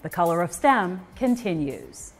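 A woman's voice: lowish voice, sing-song, measured pace, faint music behind. A middle-aged woman hosting a TV show, presenting scripted lines in a polished way from a studio. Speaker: host.

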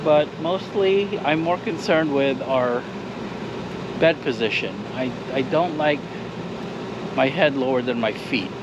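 A middle-aged man talks slowly and casually into an action camera held at arm's length outdoors. His delivery is unhurried and chatty, and the sound is a little dull, with steady noise behind him. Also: medium pitch. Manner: chatty, walking viewers through the campsite. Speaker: vlogger. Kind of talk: casual travel vlog. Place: outdoors at a campground beside a trailer. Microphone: action camera on a short pole at arm's length.

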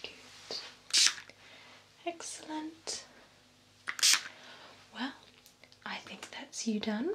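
A young woman playing a poised, courteous female vampire spa beautician in a breathy, soft ASMR whisper. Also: high voice, lively intonation, heavily acted. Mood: courteous, reassuring. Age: young adult. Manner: slow, soothing, formal, attentive. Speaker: ASMR performer. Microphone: close binaural microphone. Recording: close ASMR microphone with background hiss.

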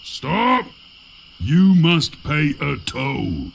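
A gruff, menacing troll from an adventure game. He declaims bluntly, dragging out each word in a gravelly voice with big swings of pitch, over faint night noise. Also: big pitch swings, very slow, exaggerated voice. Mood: gruff, demanding. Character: gruff male toll-guarding troll, slow-witted and menacing. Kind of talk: cartoonish adventure game acting.

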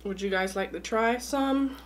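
A woman's voice: fairly high pitch, measured pace, a little hiss or hum. A young woman hosting an eating show, chatting casually between bites in a room at home. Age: young adult. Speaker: mukbang host. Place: small room indoors at home.

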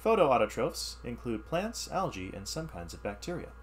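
Guy reading a science book out loud for class, lively up and down voice, stops to explain stuff, faint hum behind. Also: medium pitch, moderate pace. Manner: reads text then explains in plain words. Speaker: teacher reading a science ebook aloud. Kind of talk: read-aloud lesson with asides.

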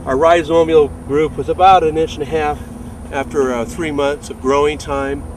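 A man's voice: a little high, steady tone. Male, fifties, hesitant technical explainer, informal, off-mic sound with noticeable background noise. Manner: halting, hesitant delivery. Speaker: sports turf manager. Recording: distant, roomy sound with clear background noise.

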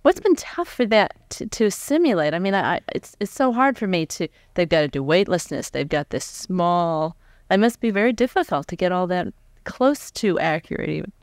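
A woman's voice: lowish voice, very animated, normal speed, no room sound, studio quality. An American woman in her forties interviewing a guest on stage in an informal, conversational way with some restarts. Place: stage before a live audience. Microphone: large windscreened microphone close in front.

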